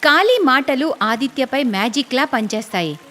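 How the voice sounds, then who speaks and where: A woman's voice: high-pitched, animated, normal speed, a bit bright. A woman narrating a scripted moral story. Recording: long hall reverb, music underneath. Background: background music.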